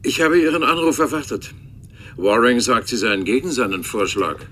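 An actor playing a resentful, cornered college professor in a radio drama phone call, speaking formally and bitterly. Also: male voice, medium pitch, animated, moderate pace, pushed and bright, slight background noise. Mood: resigned, bitter.

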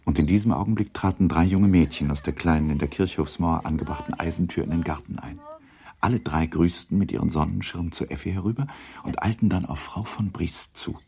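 A man narrating a literary radio drama in a measured, deliberate storytelling manner, with a gravelly, husky voice. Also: low-pitched, warm tone, heavily acted. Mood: measured, descriptive. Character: measured narrator of a classic novel.

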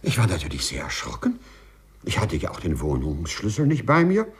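Fussy, timid clerk, very expressive. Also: male voice, sits low, fairly quick, breathy edge, exaggerated voice. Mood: agitated, bewildered. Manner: circumstantial, hesitant, formal phrasing. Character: fussy, timid, correct male civil servant, embarrassed patient. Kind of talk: 1950s German radio play acting. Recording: muffled 1950s radio play recording.

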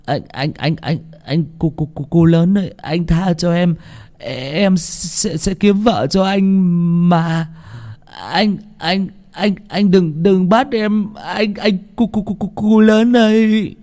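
Man acting as village boy, high voice, frightened, delirious, mumbled stammering, pleading, audio horror story. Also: slow, dark tone. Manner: stammering, mumbling, repeating words.

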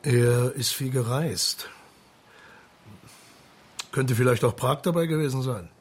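An actor playing a thoughtful, patient police marshal who questions deliberately in a radio crime drama. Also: male voice, medium pitch, husky. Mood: probing.

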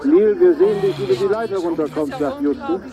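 A man's voice: fairly high pitch, fairly quick, over music, natural voice. A man giving careful, intent live commentary in the style of a 1960s broadcast. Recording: band-limited old broadcast with crowd noise.

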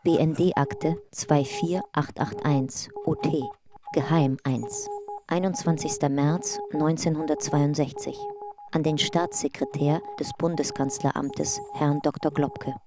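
An actress playing an impersonal reader of files in a radio drama, formal and clipped, like scripted documentary narration. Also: female voice, deep voice, very animated, takes it slowly, background noise, heavily acted. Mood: official. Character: impersonal female reader of secret files and captions.

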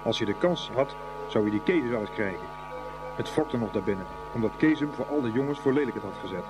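A man's voice: mid-range voice, animated, fairly quick, background noise, lightly acted. A man narrating a scripted boys' school story for a radio play. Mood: storytelling.

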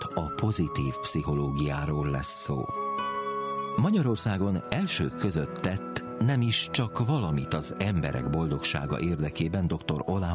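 A man. He reads a prepared introduction, with music playing behind him. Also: extremely low pitch. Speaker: radio science programme host. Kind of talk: scripted radio presentation.

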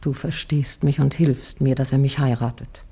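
An actress in a radio play as a flirtatious, persistent courtesan with a husky, mellow voice, coaxing and chatty. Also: female voice, rumbling low, steady tone, normal speed, heavily acted. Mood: confiding. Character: flirtatious, persistent Venetian courtesan seeking marriage. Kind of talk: theatrical radio play acting.

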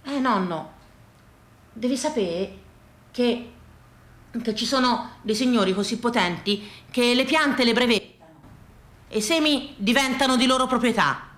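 A woman with a Tuscan accent performing a theatrical monologue, colloquial, with dramatic pauses and repetitions. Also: a little high, a bit bright. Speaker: stage performer, storyteller. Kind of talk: theatrical comic-poignant monologue. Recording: echoey room sound.

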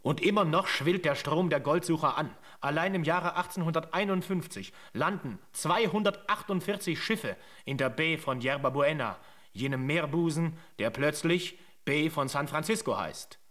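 A man's voice: a little high, lively intonation, normal speed, a bit bright. Sober radio drama narrator, male. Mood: grave, urgent. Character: sober chronicler narrating a gold rush history.

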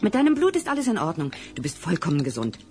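A woman playing a firm, matter-of-fact, gently reassuring mother in a children's audio drama. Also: fairly low pitch, wildly swooping pitch, fast talker, soft background music.

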